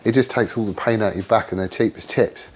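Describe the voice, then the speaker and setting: A man's voice: lowish voice, steady tone, fast, slight background noise. A man, congested and losing his voice from a cold, chatting casually about a motorbike frame build while hosting his channel. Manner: rambling and informal, with frequent ums and trailing restarts. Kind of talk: casual workshop chat to camera. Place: home garage workshop.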